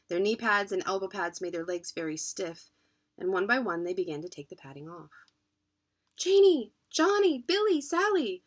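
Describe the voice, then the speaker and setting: A woman's voice: fairly low pitch, brisk, fairly bright tone. Woman reading a children's storybook expressively. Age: adult, parent. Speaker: children's book reader.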